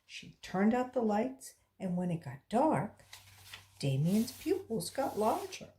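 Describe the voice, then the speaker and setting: A woman reading a children's story aloud as a volunteer, in a deliberate storytelling style. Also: mid-range voice, very animated, dark tone.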